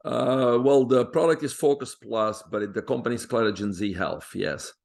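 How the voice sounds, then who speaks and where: A middle-aged man with a Belgian accent speaks at an ordinary, mid-range pitch. He tells his story in a steady, self-assured way and often pauses on a drawn-out "uh" between thoughts. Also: gravelly. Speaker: guest. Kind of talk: interview storytelling.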